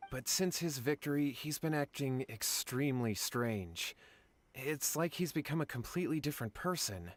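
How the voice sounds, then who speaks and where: A man's voice: medium pitch, expressive, normal speed, dry recording, lightly acted. An actor playing an anxious, deferential young man in a video game, speaking in an earnest, polite and imploring way. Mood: worried. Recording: background music under the voice.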